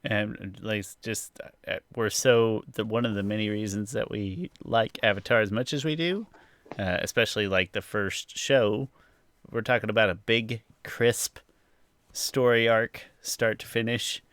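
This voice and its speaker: A man's voice: fairly low pitch, a little slow, a bit bright, clean tone, no room sound, clean studio sound. A man hosting a podcast, chatting casually through a review in an informal, self-deprecating way and thinking aloud. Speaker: podcast host.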